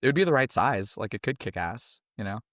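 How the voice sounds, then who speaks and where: A man's voice: fairly low pitch, animated, fairly quick, a bit bright, dry recording, studio quality. A young man from a band, a guest on a podcast, talking in a rambling, laid-back way full of fillers. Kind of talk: casual interview chat.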